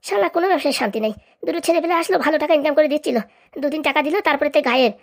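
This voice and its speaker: High-pitched, rapid-fire bossy begging-gang leader by a woman. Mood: grumbling. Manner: scolding, sarcastic, demanding. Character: bossy, grumbling, crooked ringleader of a begging racket. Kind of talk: comic cartoon dialogue.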